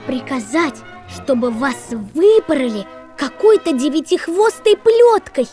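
An actress playing a dutiful woman reciting a party history textbook in a deliberate, didactic audio-drama manner. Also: sits high, expressive, mellow, soft background music. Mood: solemn, didactic.

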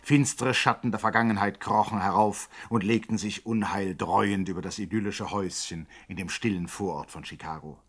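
A man's voice: lowish voice, steady tone, normal speed, few pauses, husky. A man narrating a 1960s radio play in a grand, mock-solemn way.

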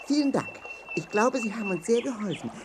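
An actor playing a friendly, sensible and polite leader of travelling companions in a children's audio play, expressive and clearly spoken. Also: medium pitch, a little fast, natural voice. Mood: polite, curious. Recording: faint noise with birdsong.

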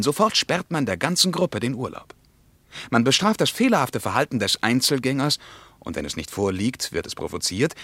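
An actor playing an impassioned, earnest storyteller in a radio play, speaking emphatically in rhetorical build-ups. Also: male voice, mid-range voice, fairly bright tone, no echo. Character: impassioned male storyteller recounting army injustice, earnest and intense. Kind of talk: dramatic radio play monologue.

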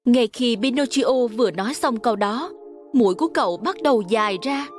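A woman's voice: on the high side, fairly quick, music in the background. A woman narrating a scripted fairy tale for children.

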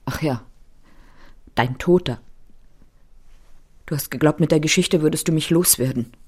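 An actress playing a scornful, unyielding middle-aged wife in a German radio drama. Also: female voice, sits low, a little slow, darkish tone, slightly rough. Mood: scornful, defiant.

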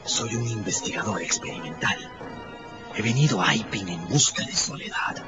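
A man's voice: lowish voice, sing-song, normal speed. An actor playing an irritable, secretive male stranger in a radio drama, curt, formal and imperious. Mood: curt, tense. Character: secretive, irritable male stranger, a bandaged researcher seeking solitude. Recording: muffled radio drama with music underneath.

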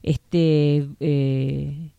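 Woman newsreader, deep and dark-toned, grave, in a dry booth. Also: flat delivery, vocal fry, smooth, no echo, muffled recording. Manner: measured, grave, with filler pauses. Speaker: radio co-host. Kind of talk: reading news on radio.

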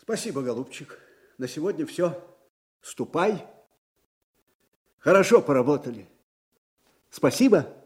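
An actor playing an old, disgraced field marshal, proud and wistful, in a folksy, musing manner for a radio drama. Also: male voice, fairly high pitch, lively intonation, a little slow, pauses often, darkish tone, husky. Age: older. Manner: folksy, musing, swinging from murmurs to ringing declamation. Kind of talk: Soviet radio drama acting.

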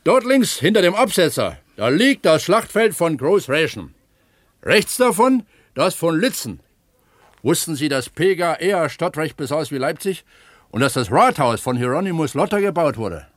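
An actor playing an eager, earnest pump attendant at an opencast mine in a naturalistic radio drama, explaining things like a tour guide. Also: male voice, on the high side, very animated, moderate pace, no room sound, clean studio sound. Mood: explanatory.

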